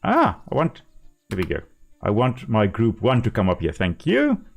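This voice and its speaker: A man's voice: fairly low pitch, huge pitch swings, normal speed, vocal fry, soft background music. A man with a South African accent, a native Afrikaans speaker, chatting casually and explaining as he plays a game on a live stream.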